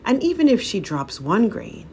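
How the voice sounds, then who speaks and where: A woman's voice: medium pitch, very animated, normal speed, a little mellow, slight background noise. A woman storyteller reading a folk tale aloud in a dramatic narrating style.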